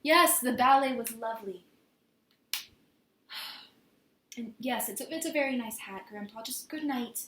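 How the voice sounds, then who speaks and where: A woman performing a stage monologue as an earnest, flustered young woman in love, speaking haltingly with stammers. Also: on the high side, a bit bright. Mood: weary, exasperated. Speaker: amateur actor performing a monologue. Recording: echoey room with faint noise.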